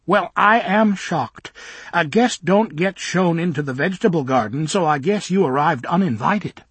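A man's voice: a little high, expressive, normal speed, a bit bright, breathy edge, dry recording, pitched above the performer's own voice, exaggerated voice. A man voicing a fussy middle-aged woman minder who scolds in rustic, broken grammar. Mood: stern.

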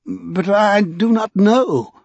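A man voicing an anxious, deferential gentleman in an audiobook, speaking in hesitant, courteous, old-fashioned phrases. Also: mid-range voice, expressive, normal speed, husky, no room sound, lo-fi sound, voice pushed up. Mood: horrified, helpless.

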